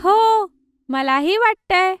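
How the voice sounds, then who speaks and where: Casting: sensible little cartoon girl, very high voice, childlike and expressive, music behind. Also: takes it slowly, exaggerated voice. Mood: cautious. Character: young girl Chinki, sensible older-sister type, cartoon.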